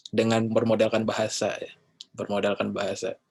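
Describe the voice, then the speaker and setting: A man's voice: on the low side, level tone, brisk, creaky, darkish tone. A man giving a casual class talk, rambling and often correcting himself with chatty fillers. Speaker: English class speaker.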